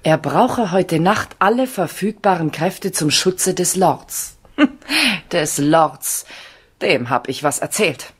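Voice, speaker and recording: An older aristocrat in a 1970s radio crime play. Her voice is low and husky, and she speaks with brisk, imperious condescension, as if used to being obeyed. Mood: self-assured. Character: haughty, brisk, older aristocratic lady of the castle.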